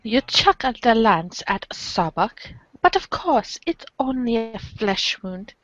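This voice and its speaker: A woman's voice: a little high, very animated, takes it slowly, no echo. Woman reading aloud, dry lo-fi sound. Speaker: podcast cast reader. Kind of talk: theatrical read-aloud narration.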